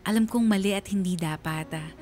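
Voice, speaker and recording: A woman's voice: medium pitch, fairly quick, darkish tone. A woman telling a first-person love story on radio in an emotive, confessional, dramatic style. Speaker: radio storyteller reading a listener's letter. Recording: music under the voice. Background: background music.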